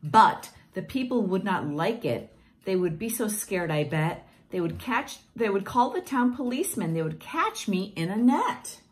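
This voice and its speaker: Woman, Portuguese first language, picture-book reader, gentle and encouraging, lively pitch, slow pace, close webcam. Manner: gentle, encouraging, singsong reading. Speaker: teacher reading picture books. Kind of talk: reading children's books aloud. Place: home room with plants, indoors. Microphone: webcam at close range.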